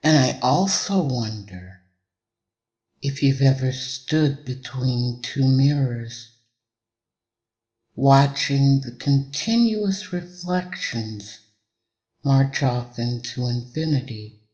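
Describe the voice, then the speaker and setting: Very slow-speaking male hypnotist. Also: medium pitch, expressive, a little mellow, lo-fi sound. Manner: measured, deliberate, with long pauses between short phrases. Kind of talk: hypnotic induction narration.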